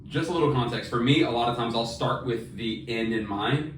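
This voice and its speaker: A man co-hosts a writing podcast in loose, slangy conversation. His voice is mid-pitched and sits back from the mic in a roomy space, with faint noise underneath. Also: fairly quick, distant mic. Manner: informal, slangy. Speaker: podcast co-host and fiction writer. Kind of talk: casual chat about writing.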